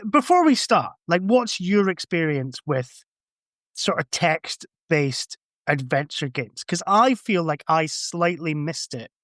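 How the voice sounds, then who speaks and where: A man's voice: on the high side, very animated, slow, fairly bright tone, no room sound, studio quality. A man chatting casually as a podcast guest, giving rambling, conversational explanations. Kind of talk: casual chat.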